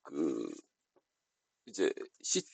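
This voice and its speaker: A man explaining software settings step by step in an unscripted tutorial, speaking politely as an instructor. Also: very deep voice, sing-song, drawn-out words, vocal fry, slightly rough, dry recording.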